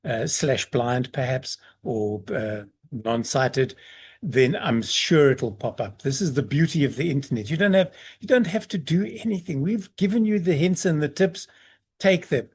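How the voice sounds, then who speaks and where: A man's voice: mid-range voice, animated, moderate pace, a little mellow, breathy edge. A man hosting a podcast interview, chatty and affable as he asks his questions. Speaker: podcast host.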